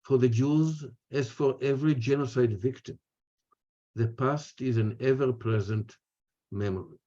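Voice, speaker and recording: An older man with an Israeli accent delivers a prepared lecture over a video call. His voice is mellow and relaxed, and he moves in deliberate, measured phrases. The audio is dull and lo-fi. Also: medium pitch. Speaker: speaker, retired history professor. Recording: muffled, lo-fi webinar audio.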